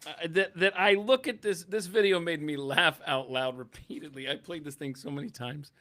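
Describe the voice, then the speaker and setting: A man's voice: fairly high pitch, moderate pace, pushed and bright. A man co-hosting a show with casual, conversational banter. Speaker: show co-host.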